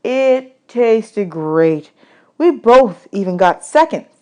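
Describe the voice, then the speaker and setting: A woman reading a children's novel aloud as expressive audiobook narration. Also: on the high side, takes it slowly, clean tone. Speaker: audiobook reader.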